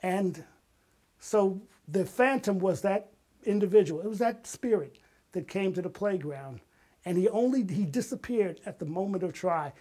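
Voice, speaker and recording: A man's voice: high-pitched, expressive, moderate pace, dark tone. An older man, an interview guest, telling personal stories in a dramatic, emphatic way and acting out quotes. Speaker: interview guest, retired artist and playwright. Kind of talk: animated personal storytelling.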